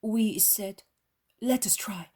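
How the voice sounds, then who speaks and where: A woman reading as the apostle Andrew, slow and breathy. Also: mid-range voice, warm tone. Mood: earnest. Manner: earnest, reverent storytelling. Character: male apostle Andrew, humble fisherman disciple. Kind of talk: devotional reading.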